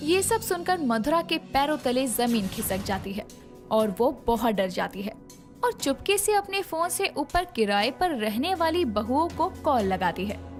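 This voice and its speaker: A woman narrating a scripted moral story in a dramatic, expressive way, over soft background music. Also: high-pitched, measured pace.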